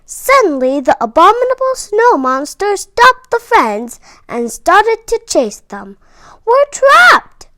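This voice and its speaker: A woman reading a children's story aloud with expressive, deliberate delivery and dramatic emphasis. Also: extremely high pitch, no echo.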